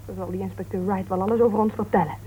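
A woman playing a sharp-tongued, argumentative crime writer in a Dutch radio play, speaking in a pointed, goading way. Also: mid-range voice, fairly even intonation, rapid-fire, lightly acted. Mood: accusing, goading. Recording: old radio recording, narrow tinny band, hiss.

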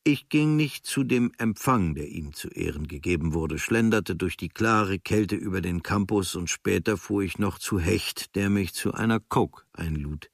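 A low-pitched young German writer reads his travel diary for a radio play. He is observant and dryly critical, with sober, measured, clipped phrasing. The sound is close and dry. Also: animated, vocal fry, no echo, full character voice. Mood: detached, observant. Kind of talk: literary reading of a travel diary.

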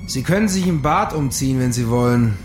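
Actor as offhand, shady photographer, music behind. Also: male voice, mid-range voice, expressive, fairly quick, natural voice. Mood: offhand, impatient. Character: suspicious male photographer, shady criminal accomplice. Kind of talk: crime radio drama acting.

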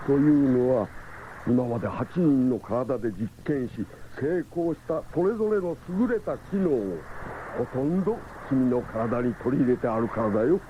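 A man's voice: mid-range voice, lively intonation, moderate pace, close to an everyday voice. A man playing a kindly old scientist who explains things in old-man phrasing, in a 1970s radio drama. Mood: explanatory. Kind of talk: 1970s anime-style radio drama acting. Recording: tinny, noisy 1979 radio recording.

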